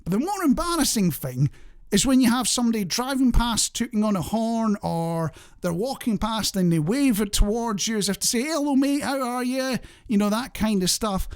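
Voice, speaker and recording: Bloke doing a chatty radio show, really animated up and down voice, jokey and self-mocking, talks right to the listener. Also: male voice, very high voice, normal speed, clean tone. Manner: jokey, self-deprecating, addresses the listener directly. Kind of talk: chatty light-hearted radio presenting. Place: radio studio.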